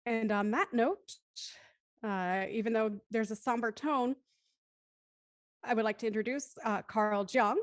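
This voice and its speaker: A woman's voice: on the high side, a little slow, long pauses, no echo. A woman moderating a casual panel discussion, speaking reflectively and circling back over her phrases.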